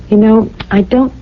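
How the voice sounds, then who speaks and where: An actress playing a tender, wistful wife from a regimented future society in a radio drama, speaking earnestly in short, halting phrases. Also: female voice, on the high side. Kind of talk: 1980s radio drama acting. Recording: dull vintage radio broadcast with music.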